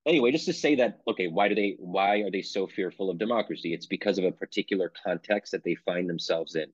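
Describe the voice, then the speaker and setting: A man's voice: low-pitched, fast, no echo. A man from Pennsylvania, an author being interviewed, talking in a thoughtful way and often correcting himself. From United States (Pennsylvania). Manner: thoughtful, self-qualifying, frequent restarts. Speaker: author, guest. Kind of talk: conversational interview answer. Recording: dull lo-fi dry sound.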